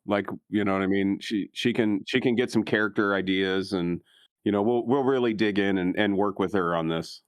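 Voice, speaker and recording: A man's voice: fairly low pitch, moderate pace, smooth, dry recording, studio quality. A man chatting casually as a player in a tabletop game, swearing freely.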